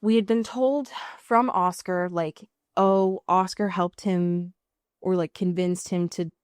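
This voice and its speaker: A woman's voice: fairly low pitch, steady tone, slow, darkish tone, clean tone, no room sound. An American woman co-hosting a casual recap podcast, emphatic and sweary, often rambling and correcting herself as she goes. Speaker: podcast co-host. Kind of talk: casual TV and film recap chat.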